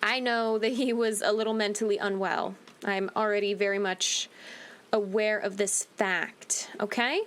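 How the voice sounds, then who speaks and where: A woman's voice: medium pitch, fairly even intonation, a little slow, fairly bright tone. Chatty woman gamer, faint background noise. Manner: chatty, rambling, informal. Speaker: gaming video host. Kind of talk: casual game playthrough commentary.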